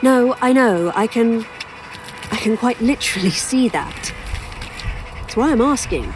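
A woman's voice: fairly high pitch, lively intonation, measured pace, over music. A woman playing an inquisitive, wry author in an audio drama, rambling and musing as she talks. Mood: curious, musing.

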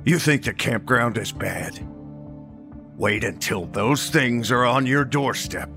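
An actor playing an old small-town sheriff in a horror audio drama, matter-of-fact, folksy and quietly threatening. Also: male voice, medium pitch, slow pace, gravelly, over music. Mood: cold threat.

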